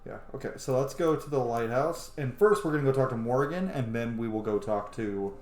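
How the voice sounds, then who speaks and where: A man's voice: medium pitch, a little fast. A man giving casual, informal and opinionated gameplay commentary as a let's play host. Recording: roomy echo, loud game music behind. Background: game music and sound.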